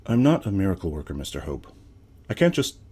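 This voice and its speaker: A harried male company worker in a video game. His voice is deep and husky. He talks like a put-upon employee answering to his boss. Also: wildly swooping pitch, a little fast, mellow, full character voice. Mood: exasperated. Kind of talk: video game character dialogue.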